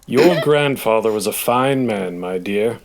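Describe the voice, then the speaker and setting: A man playing a formal, gruff old gentleman. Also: lowish voice, lively intonation, measured pace. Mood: gruff, kindly. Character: gruff but kindly old gentleman grandfather. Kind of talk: audio play acting.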